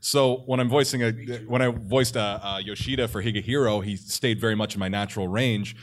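A man's voice: on the low side, steady tone, brisk. Male voice actor talking casually on a panel. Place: live convention panel.

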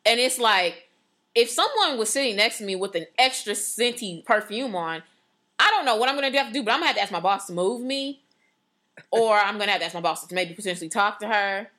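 A woman co-hosting a podcast in casual chat, loud and passionate, laughing often. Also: mid-range voice, expressive, fast talker. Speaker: podcast co-host.

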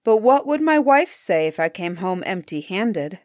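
A woman's voice: mid-range voice, very animated, unhurried, a little mellow, dry recording, clean studio sound. A woman voicing a kindly but firm peasant hunter in a storybook tale. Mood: relenting. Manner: firm, mild-tempered.

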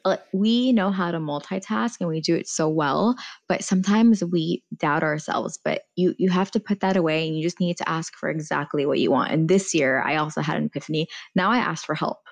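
A woman in her thirties with a noticeably low voice. She talks in a candid, chatty way, full of "like" and "you know", as a guest on a casual panel. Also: a little fast, gravelly. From United States. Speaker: guest, former TV journalist and founder.

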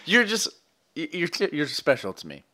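A man's voice: fairly high pitch, huge pitch swings, normal speed, sharp tone. A young man hosting a podcast, bantering casually with his co-host, teasing and laughing often.

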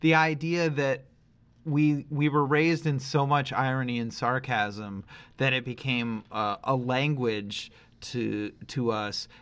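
A middle-aged man co-hosting a podcast, talking casually and reflectively, working through his thoughts haltingly with qualifications. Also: medium pitch, steady tone, fairly bright tone, smooth. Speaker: podcast co-host.

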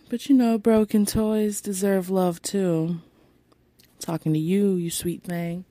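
A woman hosting a rambling solo podcast on her phone, sounding tired, with a raspy, deep voice and a chatty, playfully flirty way of talking, apologizing again and again. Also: steady tone, takes it slowly, mellow, dry recording. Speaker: podcast host. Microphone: phone held close.

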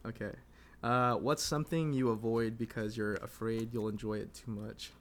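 A man's voice: on the low side, fairly even intonation, moderate pace. A man in his twenties co-hosting a casual podcast, rambling with lots of fillers and laughing often. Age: 20s. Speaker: podcast co-host. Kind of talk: casual chat.

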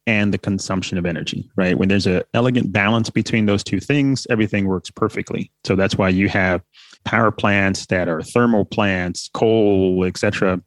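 A man being interviewed as a company founder, explaining in a measured way with some light fillers. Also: low voice, dry recording.

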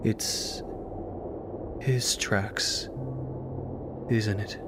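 An actor playing a subdued, bewildered man in an audio drama, quiet and hesitant. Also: on the low side, fairly even intonation, extremely slow, noisy background. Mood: puzzled.

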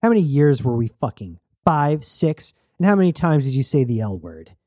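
A man's voice: mid-range voice, very animated, moderate pace, mellow, dry recording, clean studio sound. A man voicing a fiery, foul-mouthed young woman, blunt and mocking, in an audiobook. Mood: scornful, furious.

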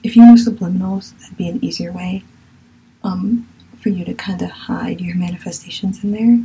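A woman's voice: fairly low pitch, steady tone, normal speed, mellow, breathy edge, low-quality recording. A young woman from Virginia with a Southern accent, chatting candidly as a podcast host, swearing casually and sometimes dropping to a whisper. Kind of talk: casual spiritual chat.

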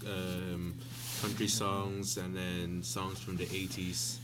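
A man's voice: sits low, level tone, takes it slowly, background noise. A man in a casual chat, speaking hesitantly with many fillers.

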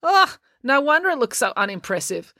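A woman's voice: mid-range voice, lively intonation, normal speed, a bit bright, no room sound, studio quality, voice pushed up, natural voice. A woman voicing a blunt, sarcastic young girl who snaps scornful retorts in a story.

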